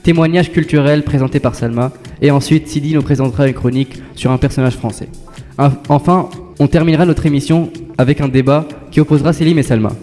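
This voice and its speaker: A young man hosts a school web radio show with a dark, mellow voice, reading his scripted links steadily and politely. His voice rings with a long reverb, and faint music plays underneath. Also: on the high side, quick pace. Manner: steady, polite presenter, thanks each guest.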